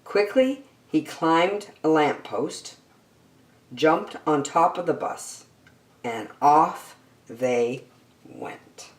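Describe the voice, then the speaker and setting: A woman hosting story time and reading a children's picture book aloud in a deliberate, expressive way for young listeners. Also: low-pitched, slight background noise. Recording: echoey room, distant microphone.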